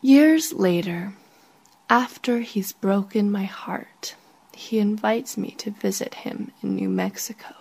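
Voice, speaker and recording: Female author-narrator for literary fiction, breathy near-whisper with vocal fry, unhurried delivery. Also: medium pitch, darkish tone. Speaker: author reading own short story. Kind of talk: literary first-person story narration.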